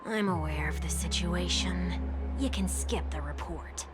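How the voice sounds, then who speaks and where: A woman's voice: fairly low pitch, unhurried, close to an everyday voice. An actress playing a shrewd, blunt and skeptical woman in a video game, pointed and wry in her delivery. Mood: brisk authority. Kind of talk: scripted video game voice acting. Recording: music behind the voice.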